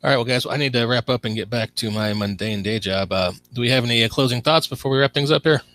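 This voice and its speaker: A man hosting a podcast, talking in a relaxed way with many fillers. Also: mid-range voice, fast talker, no room sound. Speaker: podcast host. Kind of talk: casual chat.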